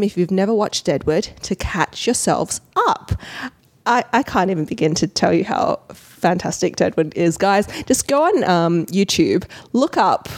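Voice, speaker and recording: A woman co-hosting a casual podcast, speaking with emphatic enthusiasm and articulate, descriptive phrasing. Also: lowish voice. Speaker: podcast co-host.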